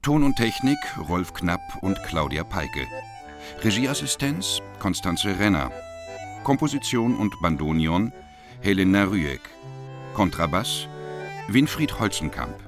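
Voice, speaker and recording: A male announcer reading the credits of a radio drama in a slow, formal manner. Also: low-pitched, big pitch swings. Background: music.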